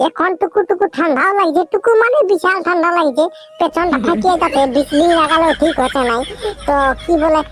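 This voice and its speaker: A performer voicing a cheeky, grumbling village fellow in a comic cartoon, with a rustic Purulia accent and a teasing, exclamatory way of complaining. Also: very high-pitched. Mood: reluctant, grumbling. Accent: Purulia, West Bengal.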